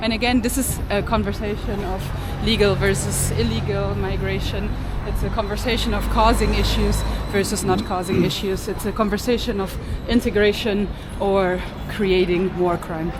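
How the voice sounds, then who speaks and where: A woman narrates a walking vlog in a mid-range voice, earnest and argumentative. Steady traffic and street sound sits clearly under her words. The delivery is casual but insistent. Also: mid-range voice, moderate pace, few pauses. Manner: earnest, argumentative, explains with figures. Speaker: travel vlogger, co-host. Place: outdoor city street. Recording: street traffic noise behind.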